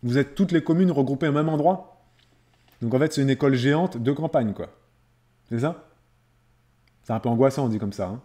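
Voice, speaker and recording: A man's voice: mid-range voice, a little fast, long pauses, warm tone. A man chatting casually as a live streamer, replying to viewers in a conversational way and repeating phrases for emphasis.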